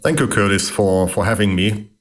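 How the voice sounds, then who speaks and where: A middle-aged man with a German accent, answering interview questions in a thoughtful way with many pauses and fillers. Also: fairly low pitch, expressive. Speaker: guest, engineering executive.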